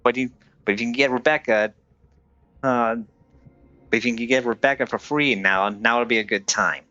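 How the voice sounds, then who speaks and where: A man chatting casually on a game livestream and giving advice in a hesitant, halting way. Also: mid-range voice, pushed and bright, no echo, lo-fi sound. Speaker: game live streamer. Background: game music.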